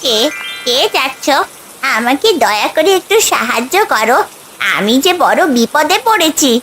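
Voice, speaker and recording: Woman voicing a high-pitched, pleading injured bird. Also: animated, measured pace, noisy background. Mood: pained pleading. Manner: plaintive, pleading. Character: injured colourful magical bird, female, pleading.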